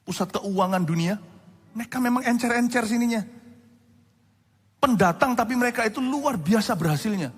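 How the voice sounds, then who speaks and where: Male pastor, 40s, high-pitched, lively storytelling sermon, reverberant hall. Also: normal speed, pauses often, slightly rough. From Indonesia. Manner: animated storytelling, conversational asides to the congregation.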